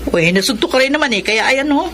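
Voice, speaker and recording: An actress playing a resentful, sharp-tongued grown daughter, scornful and accusing, in a radio drama. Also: female voice, sits high, lively intonation, fast talker, noisy background. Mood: indignant. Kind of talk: Tagalog radio drama acting.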